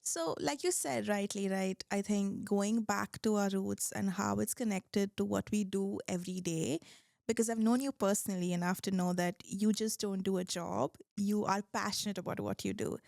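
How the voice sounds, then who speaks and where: A woman's voice: medium pitch, moderate pace, gravelly, a little mellow, no room sound, clean studio sound. A young adult woman hosts a podcast, reading a scripted intro and then asking questions in an upbeat, encouraging manner. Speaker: podcast host. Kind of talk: podcast interview hosting.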